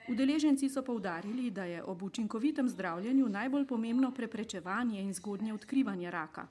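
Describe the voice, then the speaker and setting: A woman reading scripted news narration in a smooth, even way. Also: on the high side, brisk.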